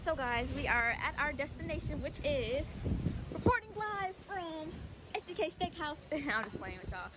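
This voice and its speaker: A woman's voice: sits high, lively intonation, normal speed, very noisy. A teenage girl filming a casual vlog on her phone at arm's length, chatting to viewers and calling them y'all. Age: teens. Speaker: vlogger. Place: hotel room and beach, phone selfie.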